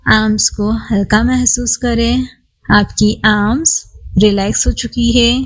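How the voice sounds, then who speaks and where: A woman leads a guided body scan meditation as a yoga instructor, calm and soothing, leaving long pauses between phrases. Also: fairly high pitch, low-quality recording.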